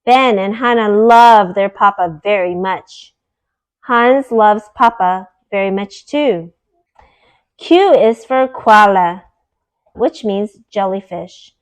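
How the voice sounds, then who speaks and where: A woman reading a children's picture book aloud in a deliberate, teacherly way, explaining words as she goes. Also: mid-range voice, rough recording.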